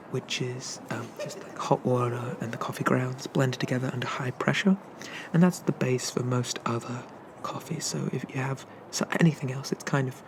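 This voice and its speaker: Man playing a gravelly-soft, chatty sea dragon barista. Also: medium pitch, noisy background, close to an everyday voice. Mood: helpful, gentle. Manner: rambling, hesitant, softly chuckling, eager. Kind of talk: ASMR roleplay, soft-spoken.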